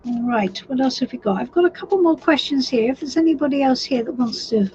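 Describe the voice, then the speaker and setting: A woman with a croaky, husky voice giving a live tarot reading, unhurried and reassuring as she thinks aloud. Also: sits high, animated, a little mellow, dull audio, a little hiss or hum. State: hoarse. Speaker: tarot reader.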